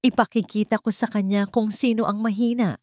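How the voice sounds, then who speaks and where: A woman voicing a betrayed wealthy wife, composed and calm with cold determination. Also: medium pitch, a little fast, no room sound, studio quality, pitched above the performer's own voice, close to an everyday voice. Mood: cold, determined.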